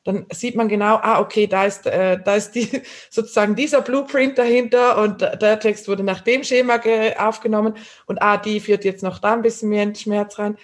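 A woman's voice: mid-range voice, fairly even intonation, normal speed. Woman hosting a conversational podcast. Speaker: podcast host, coach and author.

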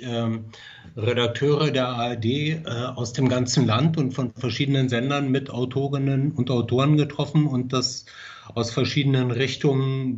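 A man answers interview questions as a screenwriter, pausing often with fillers as he thinks. His voice is of middling pitch, and the recording sounds dull and lo-fi. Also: darkish tone, husky. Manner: hesitant, many fillers.

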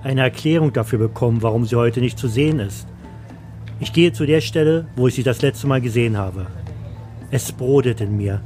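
A man's voice: medium pitch, moderate pace, mellow. A man narrating a scripted first-person story. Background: music.

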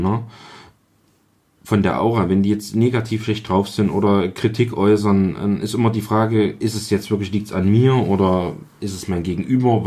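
Deep-voiced man chatting reflectively. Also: a little mellow. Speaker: podcast guest. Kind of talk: casual chat. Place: kitchen.

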